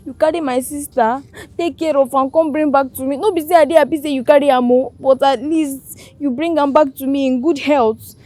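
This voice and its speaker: An actress playing a humble, protective elder sister in a film drama, with a Nigerian accent and Pidgin phrasing. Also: female voice, sits high, steady tone, brisk, warm tone, close to an everyday voice. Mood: grateful.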